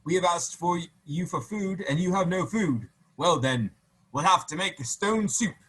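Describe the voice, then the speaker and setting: Picture a man putting on the voice of a band of hungry storybook soldiers, calling out loud and high above his own voice. They stretch their words slowly, coaxing and grand. He performs them in his read-aloud. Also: husky, rough recording. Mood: rousing. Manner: loud, raised, drawn-out calls. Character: hungry clever wandering soldiers, grown men.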